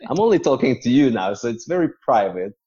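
A man from Hungary, telling a personal story conversationally as a podcast guest, with measured, hesitant phrasing. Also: medium pitch, very animated, dark tone, slightly rough, muffled recording.

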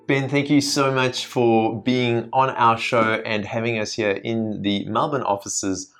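A man's voice: medium pitch, level tone, a little slow, fairly bright tone, faint music behind. A man co-host politely opening an interview with a guest in an office. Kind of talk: interview questions.

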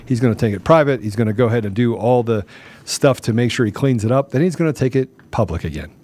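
A man's voice: mid-range voice, lively intonation, fast talker, darkish tone. Man hosting a live talk show. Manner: repeats phrases for emphasis. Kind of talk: casual live talk, sometimes reading questions aloud.

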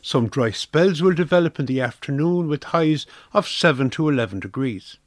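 A man's voice: a little high, animated, moderate pace, no echo, clean studio sound. A man with an Irish accent reading a scripted weather forecast for community radio.

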